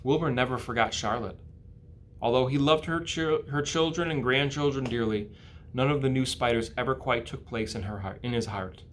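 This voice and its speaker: An American man reads a children's storybook aloud. His voice sits at a medium pitch. He narrates as an educator would to pupils. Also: moderate pace, a bit bright, slight background noise. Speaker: school educator reading aloud.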